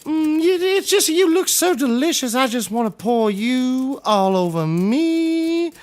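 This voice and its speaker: A man's voice: very high voice, sing-song, unhurried, a little mellow, exaggerated voice. A man voicing a giddy, fawning cannibal hobo in a scripted comedy, cooing and drawing out his words with gleeful menace. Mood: gleeful, hungry. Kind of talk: scripted audio comedy courtroom acting.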